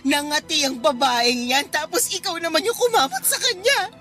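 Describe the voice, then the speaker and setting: A wronged live-in girlfriend with a very high, piercing Filipino voice. She is fierce, accusing and emotional in melodramatic radio style, with music playing clearly behind her. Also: normal speed, bright, forceful tone. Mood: bitter, enraged. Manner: scolding, emotional, confrontational. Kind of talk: Filipino radio drama acting.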